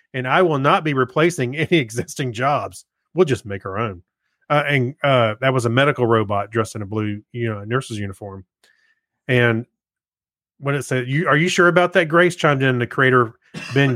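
A man's voice: mid-range voice, a bit bright, no room sound, clean studio sound. A man co-hosting a podcast, reading news and bantering in a loose, jokey, amused manner. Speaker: podcast co-host.

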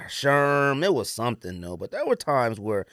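A man's voice: mid-range voice, very animated, moderate pace, a bit bright, dry recording, clean studio sound. An American man hosting a podcast, chatting casually and jokingly in slangy speech about sports and sneakers. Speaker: podcast host.

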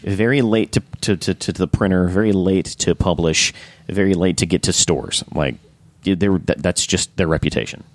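A man hosting a casual podcast chat, enthusiastic and rambling, with frequent restarts and a slightly hoarse voice. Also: sits low, moderate pace, few pauses, dry recording. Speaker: podcast host.

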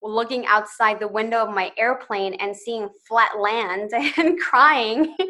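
A woman's voice: a little high, pushed and bright. A woman in her twenties from Ecuador, a podcast guest telling her own story in an earnest, eager way with light laughs between phrases. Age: 20s. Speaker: podcast guest, social entrepreneur. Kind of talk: personal storytelling in interview.

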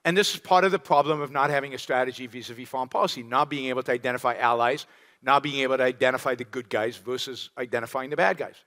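A man giving a lecture and answering audience questions, animated and emphatic, firing off rhetorical questions. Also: medium pitch, fast talker. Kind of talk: public lecture Q&A. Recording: long hall reverb.

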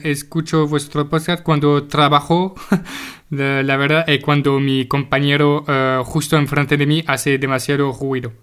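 A man's voice: a little high, fairly bright tone, smooth. A man speaking learner Spanish informally and hesitantly, as if leaving a voice message for a show he follows. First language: French. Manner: hesitant, searching for words. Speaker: podcast listener sending a voice message.

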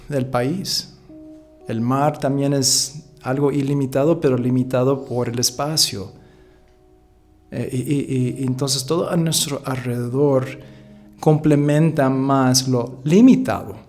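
A man whose first language is English muses aloud as a podcast host, wandering through his thoughts and correcting himself as he goes. His voice sits at an ordinary middle pitch, and a quiet instrumental track plays underneath. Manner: rambling, thoughtful, self-correcting, chuckles. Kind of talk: casual reflective monologue.

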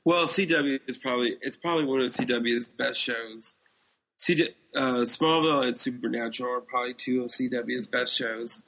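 A man's voice: medium pitch, moderate pace, a bit bright, poor audio. A man co-hosting a podcast in casual chat, speaking in an opinionated way. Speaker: podcast co-host. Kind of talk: casual chat about TV shows.

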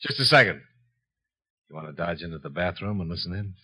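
A man's voice: low voice, animated, a little fast, bright, forceful tone, slightly rough, exaggerated voice. An actor playing a cool, probing male investigator with a clipped, dry delivery in a 1950s radio detective drama. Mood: probing, guarded. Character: cool, probing male insurance investigator narrating his case.

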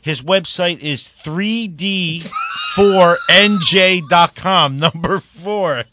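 A man hosting a talk radio show, joking loudly and laughing often. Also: sits high, takes it slowly, noisy background. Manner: joking, loud, laughs a lot. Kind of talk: casual talk radio banter. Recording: dull lo-fi dry studio sound.

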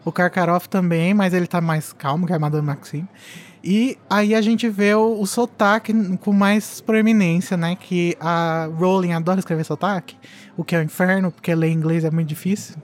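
A woman co-hosting a book-discussion podcast, chatting in an animated, laugh-filled way. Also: lowish voice, moderate pace. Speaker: podcast co-host. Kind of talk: casual chat.

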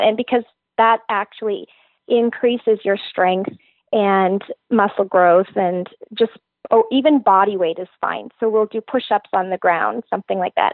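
A woman's voice: mid-range voice, dry recording. Woman, fitness trainer, eager and earnest in interview, tinny phone-quality audio. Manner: earnest, enthusiastic explaining. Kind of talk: interview answers. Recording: tinny phone-like line, dry.

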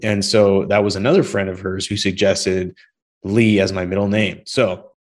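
A man's voice: deep voice, steady tone, moderate pace. A middle-aged man from Canada hosting a podcast over a video call, chatty and upbeat with rambling asides. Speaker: podcast host. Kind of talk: casual interview chat.

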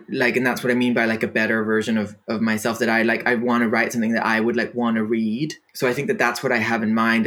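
A man's voice: lowish voice, fairly bright tone. A man, a guest in an interview, talking in a hesitant way with many fillers and restarts. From Denmark. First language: Danish. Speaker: guest writer. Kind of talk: casual interview conversation.

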